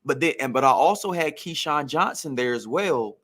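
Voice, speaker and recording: A man's voice: fairly high pitch, expressive, normal speed, a bit bright. A man, a former pro football receiver, telling career stories on a podcast in a reflective, emphatic way.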